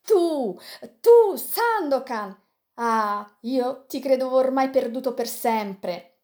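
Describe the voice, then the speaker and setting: A woman reading an audiobook, voicing a loyal, excitable male pirate friend who speaks in a raised, pleading tone. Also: normal speed, lightly acted. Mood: overjoyed. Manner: excited, pleading, raised and higher than the narration.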